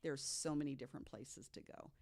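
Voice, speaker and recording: Woman w a pretty low voice, chatty podcast guest telling spooky stories, laughs easy. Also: a little fast, dark tone. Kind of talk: casual storytelling chat.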